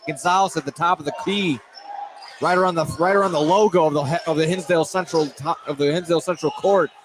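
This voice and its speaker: A man doing excited, wordy live play-by-play of a high school basketball game with dramatic phrasing. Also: fast. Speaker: play-by-play commentator. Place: indoor school field house. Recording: noisy live gym broadcast. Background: loud crowd and gym noise.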